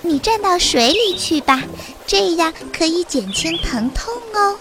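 A woman's voice: very high-pitched, animated, measured pace, voice pushed up, exaggerated voice. A woman voicing a kind, hospitable country mouse in a children's story, exclamatory and welcoming. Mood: caring.

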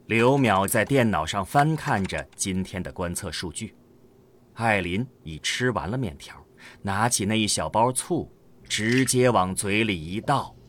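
Low, slow, dramatic male narrator. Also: lively intonation, a bit bright, slight background noise. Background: faint background music.

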